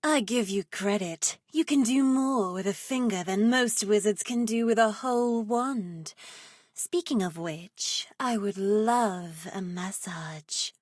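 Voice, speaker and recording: A woman's voice: mid-range voice, slow pace, dry recording, studio quality. A woman voicing a flirtatious, macabre witch teacher in a video game, speaking in a sultry, arch, teasing and mock-formal way. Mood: coy, flirtatious. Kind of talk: theatrical video game character voice acting.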